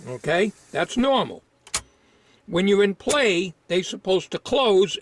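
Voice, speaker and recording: Man, fairly high voice, unhurried slow pace, halting delivery, informal hobbyist demo. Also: a little hiss or hum. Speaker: hobbyist repair demonstrator. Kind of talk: informal hands-on demonstration, unscripted.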